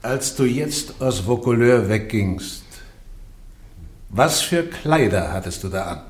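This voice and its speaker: A man's voice: mid-range voice, lively intonation, slow. Guy doing a church judge grilling the accused, question after question, classic radio play. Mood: probing. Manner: persistent, pointed questioning. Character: male church interrogator, probing and insistent. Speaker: trial judge. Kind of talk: German radio play acting.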